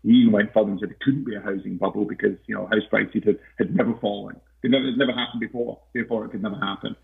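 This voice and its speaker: Croaky man on a tinny line. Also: fairly low pitch, fairly even intonation, very fast. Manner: hesitant, many fillers and restarts. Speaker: podcast guest, investment researcher. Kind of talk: casual interview talk.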